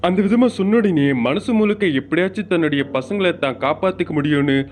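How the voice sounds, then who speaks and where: A man's voice: high voice, brisk. A man narrating a scripted cartoon bird story as a storyteller. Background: background music.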